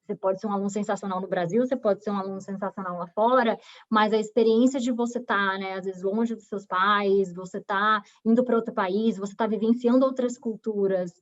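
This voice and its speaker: A woman's voice: mid-range voice, fairly even intonation, fairly quick. Brief: woman, 20s, bahian accent, talkative guest, muffled video-call sound. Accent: Bahian Brazilian Portuguese. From Bahia, Brazil. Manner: chatty, many fillers and restarts. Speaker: guest, recruiter. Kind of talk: webinar interview. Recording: dull lo-fi video call audio.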